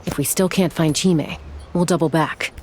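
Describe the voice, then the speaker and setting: A woman voicing a composed young female ranger who speaks calmly and level-headedly. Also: sits low, normal speed, very noisy, natural voice. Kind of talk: video game voice acting. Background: game music.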